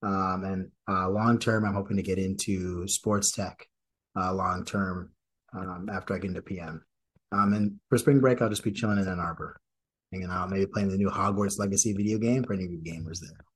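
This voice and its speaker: A young man from Wisconsin co-hosts a podcast in a somewhat low, relaxed voice. He reads announcements casually, with frequent ums and throat clearing. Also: brisk, low-quality recording. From Madison, Wisconsin. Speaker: podcast co-host.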